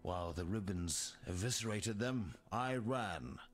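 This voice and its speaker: A man playing a grim, wary rogue survivor in a video game, speaking in a measured, sardonic way. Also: fairly low pitch, animated. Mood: grim, wry. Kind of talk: video game character dialogue.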